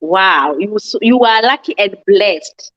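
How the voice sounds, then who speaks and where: A woman's voice: medium pitch, animated, measured pace, fairly bright tone. A woman hosting an interview, asking questions and affirming her guest enthusiastically. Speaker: host. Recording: dull lo-fi sound.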